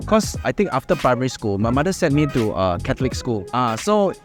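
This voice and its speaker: A man's voice: medium pitch, sing-song, brisk. A man with a Malaysian English accent tells stories in a loose, joking way, his voice rising and falling a lot. He laughs often and drops in Cantonese and Malay words. Faint music plays behind him. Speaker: guest. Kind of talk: casual chat.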